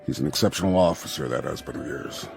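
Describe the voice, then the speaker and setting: Deep-voiced, brusque general. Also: male voice, quick pace, creaky, a little mellow. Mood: curt. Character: brusque, skeptical, commanding male general. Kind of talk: radio drama acting. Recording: music bed underneath.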